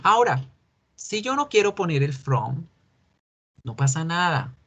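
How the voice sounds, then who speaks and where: A man's voice: on the high side, very animated, fairly bright tone, slightly rough. Man teaching English greetings. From Colombia. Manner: patient, repeats key words slowly. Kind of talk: recorded lesson explaining to students.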